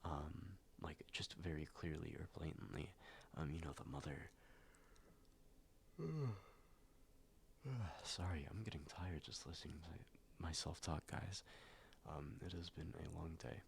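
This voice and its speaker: A young man, stuffed up and a little nasal after a cold, reading forum posts in a soft-spoken ASMR style, with many ums and slips into whispers. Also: very deep voice, fairly even intonation, measured pace, vocal fry, pauses often, slight background noise. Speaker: ASMR YouTuber.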